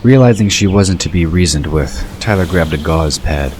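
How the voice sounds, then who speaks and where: A man's voice: deep voice, normal speed, darkish tone, a little hiss or hum. A man reading scripted narration for an audio drama.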